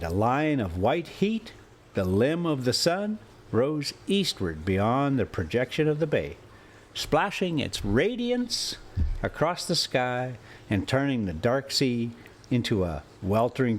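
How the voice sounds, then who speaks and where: A man reading a classic adventure story aloud in deliberate, theatrical phrases broken into short clauses. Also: medium pitch, slight background noise. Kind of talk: dramatic audiobook-style narration of an adventure story. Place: inside a moving motorhome.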